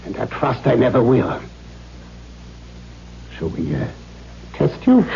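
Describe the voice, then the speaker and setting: An actor playing a cruel, domineering middle-aged father in a 1970s radio drama, mock-gentle and formal as he menaces his daughter. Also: mid-range voice, huge pitch swings, slow, vocal fry, full character voice. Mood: menacing. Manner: mock-gentle, formal phrasing, erupting into demands. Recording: dull, noisy 1970s radio recording.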